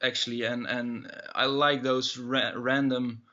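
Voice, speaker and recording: A man's voice: mid-range voice, little pitch movement, measured pace, sharp tone, dull audio. A man in his thirties with a Dutch accent, a musician answering interview questions casually, hesitant and full of fillers and restarts. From Netherlands. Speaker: musician guest.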